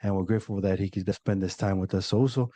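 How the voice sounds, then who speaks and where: A man hosting a podcast interview, chatting casually with lots of fillers in a relaxed, laid-back way. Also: deep voice, fast talker. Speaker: podcast host.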